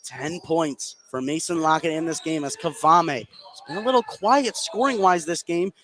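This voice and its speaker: Over clear background noise, a high-voiced man gives live basketball play-by-play. He follows the ball pass by pass and lifts into excited shouts when the big plays come. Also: moderate pace. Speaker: play-by-play announcer. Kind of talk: live basketball radio commentary. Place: indoor school basketball gym, live courtside. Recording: noisy live gym broadcast.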